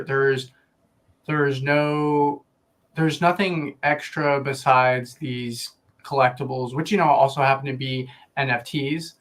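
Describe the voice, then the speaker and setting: A man giving a casual explanatory pitch on a stream, measured, in short phrases with pauses. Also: fairly bright tone. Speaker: publishing platform representative.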